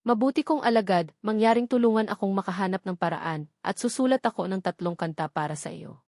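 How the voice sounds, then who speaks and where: A woman's voice: mid-range voice, a little fast, darkish tone, no echo, studio quality, natural voice. A woman voicing a determined male master in a storybook, serious and insistent. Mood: coaxing. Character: determined male master seeking a dangerous journey.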